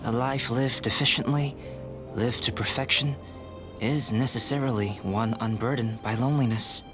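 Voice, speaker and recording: An actor playing a calm, soft-spoken young alchemist who is an artificial being, speaking in a measured, reflective way in a game cutscene. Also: male voice, medium pitch, lightly acted. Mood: philosophical. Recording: game soundtrack music behind.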